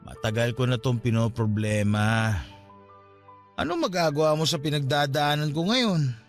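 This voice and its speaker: A Filipino actor in a radio drama playing a drunk, self-pitying young boyfriend who feels neglected, with groggy delivery. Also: male voice, medium pitch, moderate pace, lightly acted. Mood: bitter, hurt. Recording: music behind.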